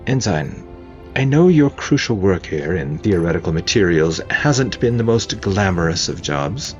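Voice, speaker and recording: A man voicing an older woman navy major in audio fiction, a formal and dryly witty mentor with crisp, measured military speech. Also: deep voice, sing-song, highs cut off, soft background music. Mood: warmly approving. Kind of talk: audio fiction character dialogue.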